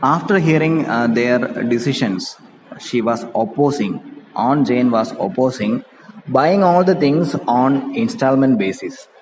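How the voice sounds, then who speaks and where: A male English teacher with an Indian accent explains a reading passage at an unhurried, deliberate pace. He rephrases points as he goes. The recording is reverberant, with clear noise behind his voice. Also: mid-range voice, animated. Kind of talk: reading a play aloud and explaining it line by line. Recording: echoey reverberant room with background noise.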